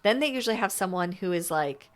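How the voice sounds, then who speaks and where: Lower-pitched woman chatting on a podcast. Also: lively intonation, fast. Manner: conversational, explains in detail with asides. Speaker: podcast co-host. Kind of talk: casual unscripted podcast chat.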